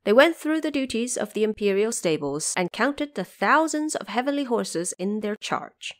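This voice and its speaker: A woman's voice: mid-range voice, big pitch swings, moderate pace. A woman narrator telling an epic adventure story in a scripted, dramatic storytelling style.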